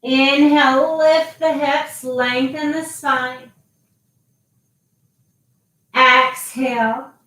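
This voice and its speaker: Woman yoga teacher, high voice, very slow, calm cues, echoey room, distant mic. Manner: slow, calm cueing with long pauses. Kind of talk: guided yoga instruction.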